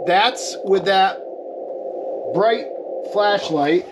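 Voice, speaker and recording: Higher-pitched man demonstrating gear, noisy recording. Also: very slow. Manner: explanatory, checks in with "okay" and "right". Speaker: amateur radio experimenter demonstrating equipment. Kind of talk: informal hands-on technical demonstration. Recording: clear steady background noise.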